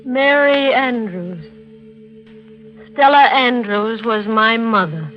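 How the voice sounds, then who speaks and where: Guarded wife, vintage radio sound. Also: female voice, a little high, takes it slowly, lo-fi sound. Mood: cool, defiant. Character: guarded married woman under police questioning. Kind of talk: 1940s radio drama acting. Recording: band-limited 1940s radio recording with music.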